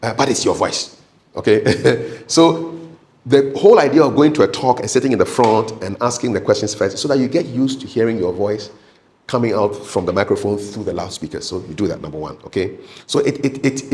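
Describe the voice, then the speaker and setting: A man with a mid-pitched voice speaks as a coach running a practical training session. He is conversational and instructive in his delivery. He repeats key points emphatically and keeps checking that listeners are following. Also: animated, normal speed, a little mellow. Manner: emphatic, repetitive, checks in with "okay?". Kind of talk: live training talk with practical tips.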